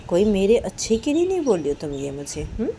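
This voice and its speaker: A woman's voice: mid-range voice, sing-song, brisk, dark tone, slight background noise, natural voice. A woman voicing a possessive, insistent young woman. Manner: insistent, pressing with repeated questions.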